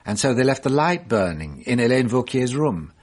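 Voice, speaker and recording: French sleuth, man, husky, animated, self-assured, deliberate hums, radio drama. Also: mid-range voice. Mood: wry, satisfied. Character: shrewd, self-assured French male detective, wryly amused.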